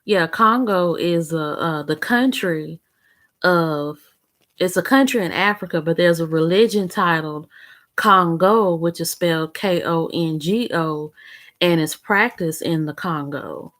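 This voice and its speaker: A woman's voice: lowish voice, measured pace, fairly bright tone. A young woman vlogger chatting casually to the camera in a rambling, conversational way. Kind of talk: casual chat.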